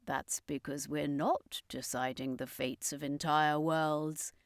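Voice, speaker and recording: A woman's voice: low voice, flat delivery, slow pace, clean tone, no room sound, clean studio sound, pitched below the performer's own voice. A woman voicing a boastful, theatrical male circus master in an audiobook, pompous and proclaiming. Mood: reflective.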